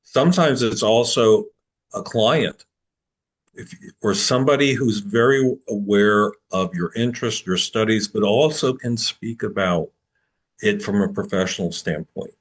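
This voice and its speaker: A man answering applicants' questions at an online open house, relaxed and explanatory, over a video call. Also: fairly low pitch, measured pace, poor audio. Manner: relaxed, explanatory, with repeats and restarts. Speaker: program admissions advisor.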